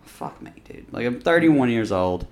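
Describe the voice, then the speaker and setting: A man's voice: mid-range voice, lively intonation, normal speed, darkish tone, reverberant. Rambling, profane man in his thirties. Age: 30s. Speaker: podcast co-host. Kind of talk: crude casual chat.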